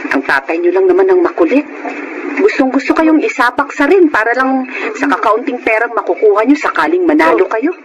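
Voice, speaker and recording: A woman's voice: on the high side, expressive, fairly quick, close to an everyday voice. A woman playing a caring, worried mother in a radio drama, with a coaxing, motherly manner. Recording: noisy ambience behind radio drama.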